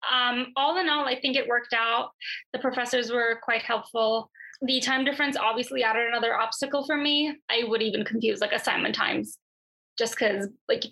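A woman's voice: fairly high pitch, steady tone, normal speed, sharp tone, husky, poor audio. A young woman from Turkey in her twenties, a journalist answering interview questions in a chatty, thoughtful way. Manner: chatty and thoughtful, with frequent fillers and restarts. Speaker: guest, journalist.

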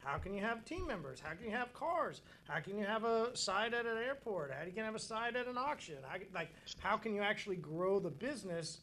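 A man's voice: high-pitched, lively intonation, fairly quick, hardly any pauses, pushed and bright, slight background noise. A man in his forties hosting a casual chat, keen, asking questions and summing up what his guests say. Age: 40s. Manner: enthusiastic, asks questions and sums up others' points. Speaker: podcast host.

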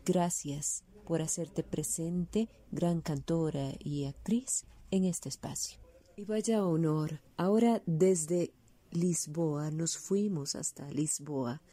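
A woman's voice: deep voice, slow, mellow. A woman hosting and presenting formally, with an effusive, courteous way of thanking and introducing. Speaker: host.

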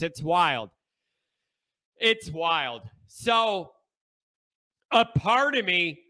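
A man's voice: high-pitched, sharp tone. A man hosting a sports talk show, talking emphatically and repeating his points, his voice stuffed up from congestion. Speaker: show host.

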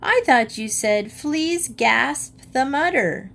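Little storybook girl, high and impish, a woman puts her on. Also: animated, takes it slowly, gravelly, exaggerated voice. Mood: teasing. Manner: bright and teasing. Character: mischievous little girl who mishears words.

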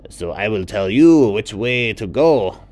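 A man voicing a welcoming, helpful toucan in a children's bedtime story, with a courteous, drawn-out, emphatic delivery. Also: fairly low pitch, lively intonation, darkish tone, no room sound, pitched above the performer's own voice. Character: welcoming, helpful male Costa Rican toucan.

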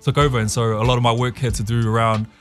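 A man's voice: mid-range voice, little pitch movement, fast, fairly bright tone, faint music behind. A man in his thirties with a New Zealand accent, speaking as a guest on a radio show in an easygoing, chuckling way with many fillers and restarts. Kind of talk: casual radio interview. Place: radio studio.

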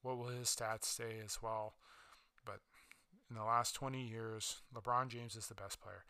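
A man's voice: fairly low pitch, little pitch movement, a little slow, sharp tone, smooth, dry recording. A man hosting a solo sports podcast, talking casually with many fillers and restarts, his voice slightly worn. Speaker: podcast host.

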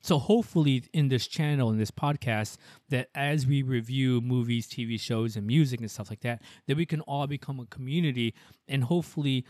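A man's voice: medium pitch, fairly quick, darkish tone, no echo, studio quality. A young man co-hosting a podcast in a casual, rambling way, switching between English and Tagalog. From United States. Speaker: podcast co-host.

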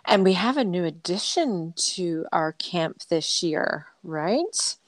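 Low-voiced Scottish woman co-hosting. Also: expressive, slow pace, dry recording. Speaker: endurance coach and podcast co-host. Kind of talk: casual conversational podcast talk.

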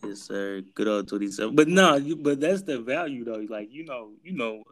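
A man's voice: mid-range voice, animated, moderate pace, fairly bright tone. Laid-back teasing young man. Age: 20s. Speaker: podcast co-host. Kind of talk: casual chat.

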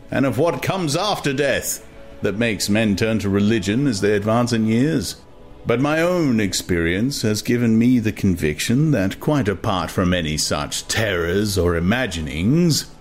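Man portraying learned male ruler, deep voice, measured oratorical delivery, calmly persuasive, audiobook drama. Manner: deliberate, oratorical phrasing.

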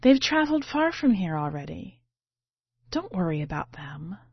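A woman's voice: lowish voice, sing-song, normal speed, creaky, breathy edge, heavily acted. Teasing, brash young woman, read by a female narrator. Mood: dismissive. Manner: playfully hostile, dismissive. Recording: muffled, roomy home recording.

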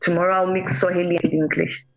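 A woman's voice: lowish voice, flat delivery, quick pace, highs cut off. A woman from Kenya, a reggae singer, talking earnestly about her music in a casual radio interview, in short repeated phrases. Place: radio call-in.

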